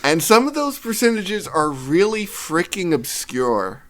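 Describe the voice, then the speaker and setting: A man's voice: high voice, big pitch swings, unhurried, a bit bright. A man co-hosting a podcast, chatting casually about games in a wry, self-mocking way. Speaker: podcast co-host. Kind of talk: casual anecdotal game talk.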